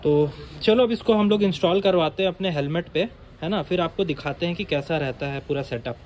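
A man's voice: fairly high pitch, brisk, a little mellow. A man chatting casually to viewers as a vlog host, explaining things informally and repeating key points. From India. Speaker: vlogger and host. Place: small indoor motorbike accessory shop. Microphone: action camera held by the speaker. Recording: noisy room with clear ambient noise.

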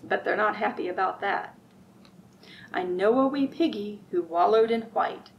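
A middle-aged woman reading aloud at a children's storytime, expressive and sing-song, drawing out rhymes and putting questions to young listeners. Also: medium pitch, normal speed, vocal fry, highs cut off, slight background noise. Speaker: children's librarian, storytime host. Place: library room with bookshelves, indoors.

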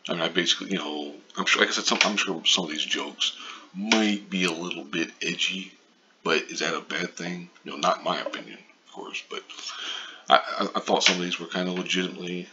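A man's voice: sits low, a little fast. A man giving a casual, profane opinion rant as a video commentator, blunt and sarcastic in how he talks. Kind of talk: casual opinion rant over a news article. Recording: muffled lo-fi mic with faint noise.

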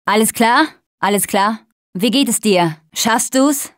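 A young female zombie-apocalypse survivor from a video game, acted by a woman, with a high voice. She talks tough but cares for her team, and delivers her short lines at an unhurried pace. The sound is dry and close. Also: dry recording, lightly acted. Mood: reassuring.

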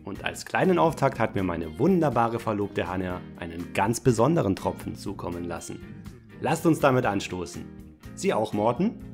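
An actor playing a genial, scheming older director and storyteller in a German audio play parody. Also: male voice, lowish voice, sing-song, moderate pace, creaky, music in the background. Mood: jovial, grand.

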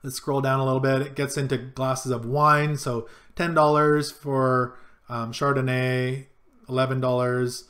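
A man in his thirties hosting a casual screen-share tutorial from a small home office, listing items in a relaxed way with frequent ums, recorded on a desk webcam. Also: medium pitch, unhurried. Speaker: cruise vlogger.

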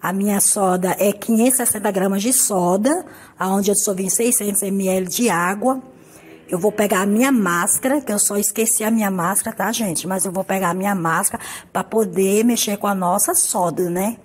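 Hoarse chatty woman from Brazil. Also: medium pitch, moderate pace. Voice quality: husky. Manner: chatty, affectionate, repeats "tá, gente?". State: hoarse from a cold. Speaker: soap-making channel host. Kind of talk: casual home tutorial. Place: home kitchen counter. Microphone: phone camera on the table.

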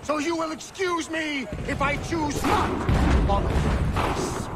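An actor playing a gruff, boastful middle-aged army general with a gravelly, scornful delivery. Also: male voice, very high-pitched, takes it slowly, heavy background noise. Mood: boastful, angry. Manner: blustering, scornful, sardonic. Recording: battlefield gunfire and artillery behind.

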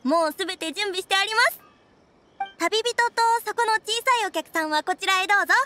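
A woman's voice: very high voice, a little fast, pushed and bright, a little hiss or hum. A woman playing a friendly restaurant owner in an anime game, speaking in a familiar, confiding way. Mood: welcoming. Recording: music playing behind the voice.

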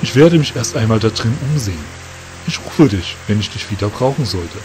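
A man's voice: lowish voice, expressive, fairly quick, music in the background, pitched below the performer's own voice, natural voice. A man voicing a friendly moth rider in an audio drama, talking affectionately to his mount. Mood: gentle, caring. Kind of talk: amateur audio drama dialogue.

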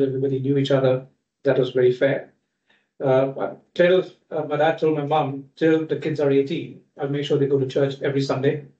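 A man from India with an Indian accent, introducing himself at a round-table meeting in a measured way. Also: medium pitch, dark tone. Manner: measured, with frequent "uh" and "kind of". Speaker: panelist. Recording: distant, echoey, dull lo-fi room sound.

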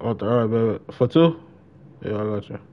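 A man's voice: on the low side, moderate pace. A young man chatting casually on a gaming livestream, laid-back and slangy, repeating his phrases. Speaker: live-streamer. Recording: dull, slightly noisy mic.

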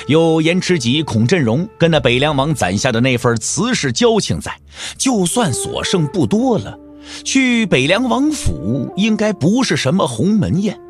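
Guy narrating a wuxia audiobook, theatrical n wry, really expressive pitch, faint music under him. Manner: theatrical, wry, with rhetorical questions. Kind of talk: dramatic storytelling narration of a martial-arts novel.

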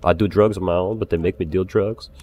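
Man playing a low-voiced boastful roving barkeep. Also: steady tone, fast talker, dull audio, lightly acted. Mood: rueful, rambling. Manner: rambling, profane. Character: breezy boastful male traveling transporter turned bar owner. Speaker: roleplay player and narrator. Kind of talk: improvised in-character roleplay. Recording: noisy game audio, ambient background sound. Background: game world ambience.

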